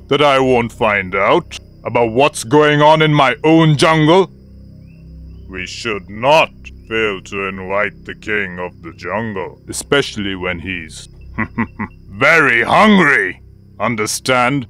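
A man's voice: fairly low pitch, lively intonation, slow, bright, forceful tone, soft background music, exaggerated voice. A man voicing a haughty, menacing lion king in a cartoon, sly and mockingly polite. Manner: mocking, drawling mock politeness. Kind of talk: cartoon voice acting.

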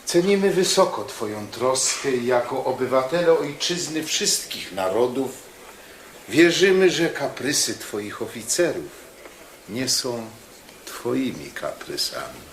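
A man's voice: mid-range voice, very animated, a little slow, echoey room, slight background noise. An actor playing a humble male missionary who speaks politely and with dignity in a radio play. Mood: dignified.

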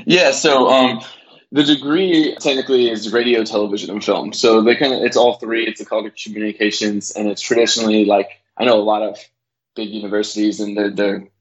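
A man in his thirties with an American accent chats casually as a guest. His voice sits low and moves little in pitch. The recording is a bit dull and lo-fi. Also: steady tone, a little fast. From Texas, United States. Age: 30s. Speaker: film director, podcast guest. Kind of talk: casual interview chat.

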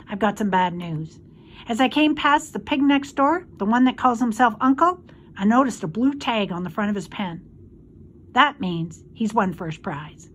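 A woman voicing a gluttonous, smug male rat with a hoarse, husky voice and a snarling drawl, in a storybook reading. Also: on the high side, a little hiss or hum, lightly acted.

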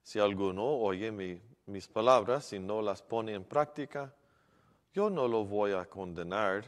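A middle-aged priest reads the Gospel at a church lectern in a measured, steady manner. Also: male voice, fairly low pitch. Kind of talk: reading the Gospel at Mass. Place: large church, at a wooden lectern. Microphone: lectern microphone. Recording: long church reverb.

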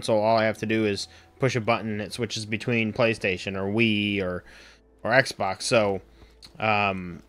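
A man in his thirties with a raspy, sore voice, rambling through casual live-stream commentary at home with lots of ums. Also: medium pitch, a bit bright. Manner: rambling, full of ums and uhs. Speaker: game streamer.

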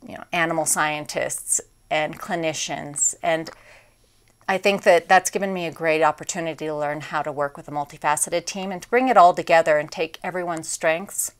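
Woman in her forties, mayor guest; low, smooth, resonant voice; measured and upbeat; lapel mic in a small studio. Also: steady tone. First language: English. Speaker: town mayor. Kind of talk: studio interview answers. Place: small TV studio set, indoors.

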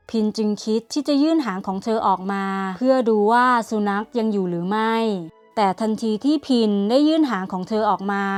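A woman reading a children's bedtime story, her voice a little high and soft. She reads evenly and without haste. Gentle music plays quietly underneath.

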